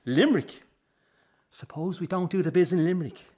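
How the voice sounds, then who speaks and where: An actor playing a driven, frustrated middle-aged son with an Irish accent, in a naturalistic radio drama. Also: male voice, sits high, normal speed, mellow. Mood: frustrated, forceful. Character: driven, frustrated son running the struggling family circus.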